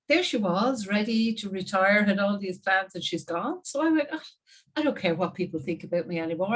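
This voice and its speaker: A woman in her fifties with an Irish accent, telling her story as an interview guest in a chatty, animated way with frequent laughs. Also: medium pitch, poor audio. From Ireland. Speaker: guest marketing strategist. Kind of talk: casual interview talk.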